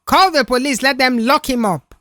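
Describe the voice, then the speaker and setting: A narrator voicing an irate mother in an audio story, loud, indignant and commanding as she demands justice for her son. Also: high-pitched, moderate pace, dry recording, pitched above the performer's own voice.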